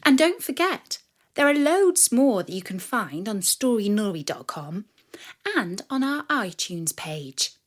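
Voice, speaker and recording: Female storyteller for children's podcast. Unhurried, expressive narration with wide, lively intonation. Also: medium pitch. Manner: expressive, dramatic delivery.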